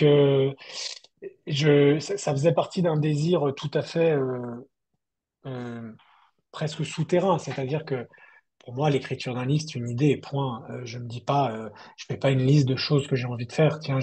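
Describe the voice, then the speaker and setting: Guy novelist on an online literary chat, slightly husky, thoughtful, keeps restarting as he thinks. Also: mid-range voice, darkish tone. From southern France. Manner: thoughtful, halting delivery with repeated word starts. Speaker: novelist being interviewed. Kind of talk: reflective online literary conversation. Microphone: online video call.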